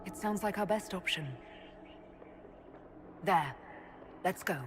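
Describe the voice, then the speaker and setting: A woman's voice: medium pitch, takes it slowly, a little hiss or hum, close to an everyday voice. A woman playing an earnest, uncertain heroic leader in a video game, speaking a little hesitantly. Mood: resolved. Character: earnest, uncertain female hero leading an inquisition. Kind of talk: dramatic video game dialogue. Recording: game audio with loud background ambience.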